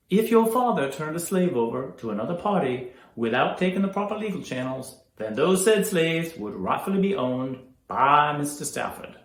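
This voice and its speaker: A man's voice: fairly high pitch, fairly bright tone. A man acting a businesslike lawyer in a period drama, with a formal, official manner. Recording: echoey room.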